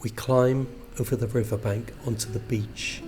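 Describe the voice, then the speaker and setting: A man with a husky voice reading a scripted piece of literary fiction aloud. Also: medium pitch, fairly even intonation, normal speed, darkish tone, soft background music. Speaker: reader of a literary extract.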